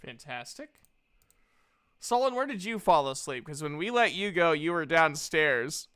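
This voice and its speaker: A woman's voice: low-pitched, sing-song, measured pace, fairly bright tone, smooth. A woman running a tabletop game, narrating casually with slangy, joking asides. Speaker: game master.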